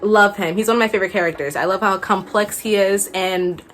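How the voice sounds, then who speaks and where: A woman's voice: medium pitch, fairly even intonation, brisk, a bit bright, slight background noise. A young adult woman chatting casually as a book vlogger, gushing and slangy, repeating words for emphasis. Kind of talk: casual chat to camera. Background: background music.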